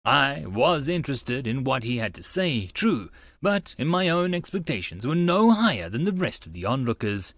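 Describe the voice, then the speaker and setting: A man's voice: medium pitch, big pitch swings, moderate pace, few pauses, a bit bright, dry recording. A man narrating a first-person story in a dramatic audiobook style.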